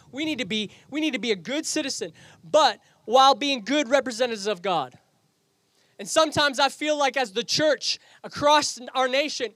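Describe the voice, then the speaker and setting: A man's voice: extremely high pitch, fairly bright tone, dry recording. A man pastoring an outdoor service, preaching a sermon with a Canadian accent, earnest and prone to repeating and restarting phrases. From England.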